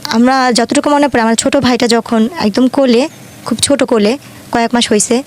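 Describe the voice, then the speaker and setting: A woman's voice: fairly high pitch, steady tone, normal speed, slight background noise. A woman in her twenties from Dhaka with a Bangladeshi accent, telling her life story in an earnest, colloquial way as an interview guest. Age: 20s.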